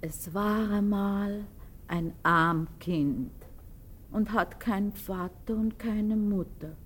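An actress in a German radio play voicing an old grandmother telling a bleak fairy tale in a deliberate, folksy way. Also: mid-range voice, slight background noise, close to an everyday voice. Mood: grim, storytelling.

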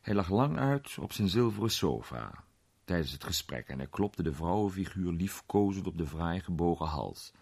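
An actor playing a curious amateur sleuth who tells a suspenseful mystery story in animated, colloquial radio drama narration. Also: male voice, low voice, normal speed, vocal fry, slightly rough, exaggerated voice. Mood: intrigued, suspenseful. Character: curious male amateur sleuth recounting a suspenseful night adventure.

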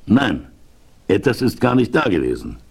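A man's voice: fairly low pitch, big pitch swings, normal speed, vocal fry, a little mellow, husky, heavily acted. A man playing a polite, concerned townsman who greets a visitor in a courteous, formal way. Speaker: host receiving a visitor. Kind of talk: 1970s German radio play acting.

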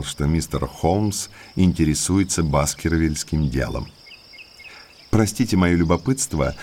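Audio drama role: inquisitive gentleman in his thirties, deep register, courteous but slyly probing, slight background noise. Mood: insinuating, curious. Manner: courteous, talkative, insinuatingly polite.

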